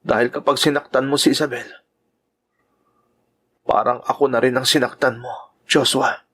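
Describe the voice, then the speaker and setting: A man's voice: medium pitch, fairly even intonation, normal speed, sharp tone, breathy edge. A man with a Filipino accent acting an earnest, self-sacrificing young lover and devoted brother in a sentimental Tagalog radio drama. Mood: solemn, pleading. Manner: earnest, sentimental delivery, mixing in English phrases.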